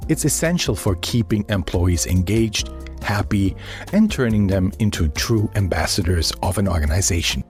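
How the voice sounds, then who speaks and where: A man's voice: on the low side, a little mellow. A man with an Austrian accent hosting a podcast interview, upbeat and friendly, with frequent ums. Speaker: podcast host.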